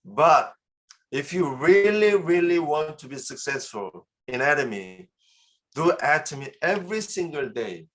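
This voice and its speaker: A man's voice: fairly high pitch, lively intonation, measured pace, a bit bright. Earnest Korean-accented man giving a talk. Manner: earnest, repeats and restarts words, coaxing the audience. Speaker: business seminar speaker. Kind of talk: motivational business talk.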